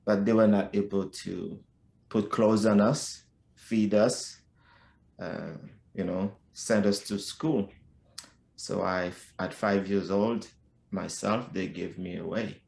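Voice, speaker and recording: A middle-aged man with a Haitian accent, telling his life story as a podcast guest in a slow, halting way with frequent restarts. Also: on the low side, steady tone, gravelly, darkish tone, reverberant. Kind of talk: personal life story in conversation.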